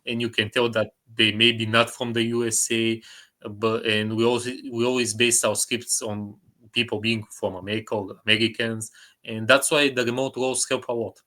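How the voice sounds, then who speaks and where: A man's voice: mid-range voice, flat delivery, moderate pace, pushed and bright. A man with a Bulgarian accent chatting casually as an interview guest, often repeating short phrases for emphasis. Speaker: guest, indie filmmaker.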